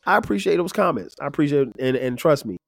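A man in his fifties hosting his own podcast, talking in a relaxed, rambling way with lots of fillers, his voice a little nasal and stuffed-up. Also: fairly high pitch, fast, vocal fry, no echo. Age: 50s. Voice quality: slightly nasal, stuffed-up from sinus trouble. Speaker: podcast host. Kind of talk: casual solo podcast reflection.

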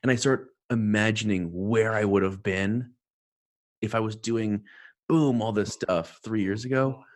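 Low-voiced man hosting a chat. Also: steady tone, measured pace. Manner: encouraging, conversational questions. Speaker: podcast host. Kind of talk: casual interview chat.

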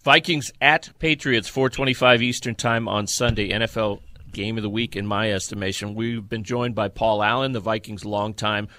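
Bright, forceful man hosting sports talk. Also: on the low side, steady tone, normal speed, few pauses. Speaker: sports podcast host. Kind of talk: football discussion.

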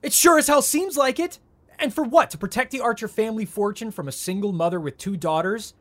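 A man's voice: high-pitched, a little fast, lightly acted. An actor in a naturalistic audio drama playing an agitated, wounded heir in his thirties who stammers with indignation. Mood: agitated, hurt. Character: agitated adult heir of a rich family, volatile and wounded.

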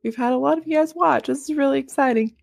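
A woman with a Southern US accent co-hosting a casual podcast, animated and quick to laugh. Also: high voice, gravelly. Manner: giggly, animated, laughs often. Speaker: podcast co-host. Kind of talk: casual podcast chat, livestreamed.

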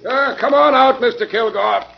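Creaky, high-pitched wary guard. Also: male voice, moderate pace, full character voice. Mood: wary, puzzled. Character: wary, slow-witted male store guard. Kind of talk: 1940s radio drama acting. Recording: muffled, noisy 1940s radio recording.

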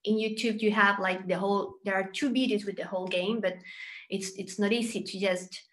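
A woman from Chile, a guest journalist, chatting casually with fillers and lively turns. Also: mid-range voice, fairly quick. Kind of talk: casual chat.

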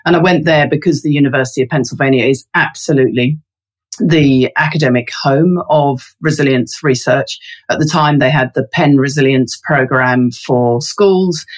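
A woman's voice: deep voice, moderate pace. Chatty woman with lots of ums. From London, England. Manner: thoughtful, many fillers. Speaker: interview guest, resilience researcher. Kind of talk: casual interview talk.

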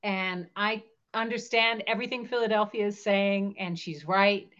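A woman's voice: medium pitch, fairly even intonation, normal speed, a bit bright, dull audio. A woman co-hosting a show, explaining history in a discursive, educational way. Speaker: show co-host.

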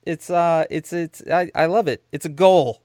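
A man's voice: a little high, steady tone, normal speed, a little mellow, no room sound, studio quality. A man co-hosting a podcast, agreeing enthusiastically and chatting casually. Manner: agreeable, enthusiastic, short replies. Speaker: podcast co-host. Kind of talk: casual chat.

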